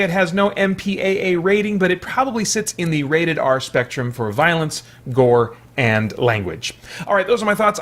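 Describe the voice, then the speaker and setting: A man hosting a casual, unscripted film review, speaking conversationally and thoughtfully, often pausing to qualify what he says. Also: fairly high pitch, a bit bright. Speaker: host of a film review channel.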